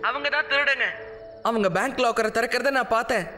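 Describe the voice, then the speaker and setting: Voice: medium pitch, steady tone, quick pace, sharp tone, close to an everyday voice. A performer voicing a brave, clever young boy hero in a cartoon dub, earnest and take-charge. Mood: urgent. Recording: prominent music behind the dialogue.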